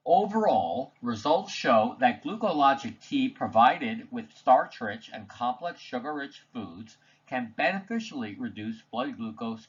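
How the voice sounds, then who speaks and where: A man reading a scripted slide presentation voice-over in a measured, formal, technical manner. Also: mid-range voice, husky, lo-fi sound. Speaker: presenter narrating study results.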